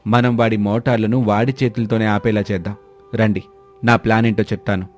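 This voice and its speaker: A man's voice: lowish voice, level tone, a little fast, a little mellow, faint music behind, lightly acted. Man playing confident educated young villager. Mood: resolute.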